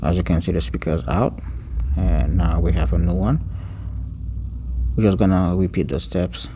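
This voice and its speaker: Deep mellow guy walking thru a repair step by step, kinda halting, some noise behind him. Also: flat delivery. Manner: halting, pausing mid-sentence, repeats words. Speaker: phone repair technician giving a tutorial. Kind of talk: unscripted step-by-step repair narration.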